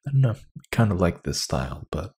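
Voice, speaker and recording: A man's voice: low voice, very animated, a little fast, darkish tone, slightly rough. A man chatting casually to his listeners as channel host, informal and a little hesitant.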